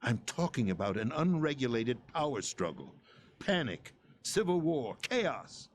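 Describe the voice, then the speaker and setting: An actor playing a disillusioned middle-aged president in a video game cutscene, speaking gravely and deliberately with theatrical weight. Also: male voice, medium pitch, sing-song, slight background noise. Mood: grave, urgent. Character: disillusioned middle-aged male president, bitter political pawn.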